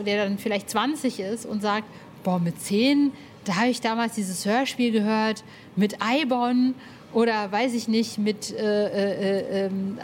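Informal, slangy woman podcast host, noisy background. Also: medium pitch. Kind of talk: casual conversational interview.

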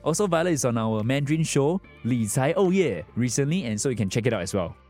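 A man's voice: mid-range voice, very animated, quick pace, a little mellow, faint music behind. A man, reading an upbeat scripted introduction as a podcast host.

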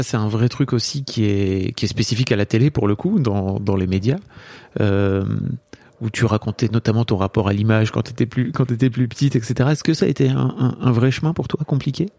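Podcast host guy, chatty loose questions, normal pitch, super dry sound. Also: warm tone, no echo. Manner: informal, rambling questions. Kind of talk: casual interview chat.

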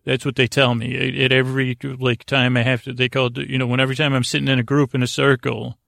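A man with a creaky voice hosting a bedtime podcast, talking in a meandering, halting, soothing monologue that circles back on itself. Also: medium pitch, level tone, fairly bright tone, no echo, clean studio sound. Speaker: host of a bedtime story sleep podcast. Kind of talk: rambling, soothing monologue to the listener.

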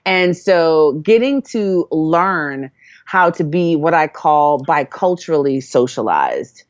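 A woman's voice: low voice, very animated, takes it slowly, no room sound, dull audio. A woman from Jamaica, a guest in an interview, talking earnestly and thoughtfully with many fillers and restarts. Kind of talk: reflective conversational storytelling.

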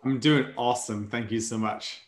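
A man's voice: medium pitch, steady tone, normal speed. A man with a Welsh accent, a startup founder speaking as an interview guest, upbeat and fluent as he explains his business. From Wales. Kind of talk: casual interview chat. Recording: roomy echo.

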